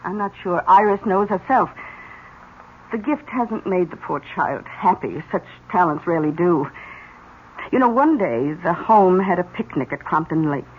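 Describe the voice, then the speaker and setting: An actress playing a genteel, effusive older aunt who earnestly believes in psychic gifts, in 1970s radio drama style. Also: female voice, on the low side, animated, normal speed, close to an everyday voice. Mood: earnest, enthralled. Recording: muffled old radio recording, faint hiss.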